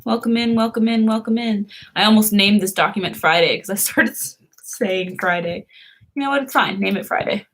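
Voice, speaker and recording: A young woman talks to her viewers in a casual live stream. She laughs often and drifts from one thought to the next. Her voice sits in a middle pitch. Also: normal speed. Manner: chatty, giggly, answers viewers' comments.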